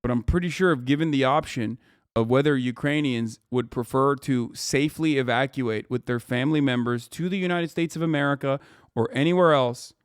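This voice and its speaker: Male streamer, heated profane reaction commentary, medium pitch, dry close sound. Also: a little fast, smooth, dry recording. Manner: heated, profane ranting with emphatic repetition. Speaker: streamer reacting to a news video.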